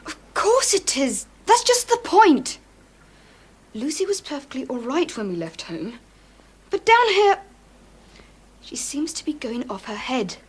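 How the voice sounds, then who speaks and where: A young actor playing a bossy, protective schoolboy elder brother in a radio drama, with an earnest, scolding manner. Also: male voice, very high-pitched, big pitch swings, measured pace, pauses often, slight background noise, full character voice. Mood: indignant.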